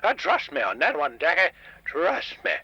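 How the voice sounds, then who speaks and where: A man voicing a grumpy, stubborn old diner owner, raspy, crotchety and rambling, heard over a radio call-in line. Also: fairly high pitch, huge pitch swings, moderate pace, sharp tone, dry recording, muffled recording, exaggerated voice. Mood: indignant, bitter. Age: older. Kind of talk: comedic radio drama guest. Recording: dry, band-limited radio call-in line.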